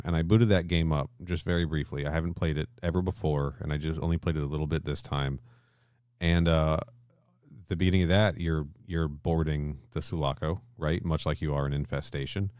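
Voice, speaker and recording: Very deep-voiced man, casual podcast talk. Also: a little mellow, dry recording, studio quality. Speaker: podcast co-host. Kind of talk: casual discussion.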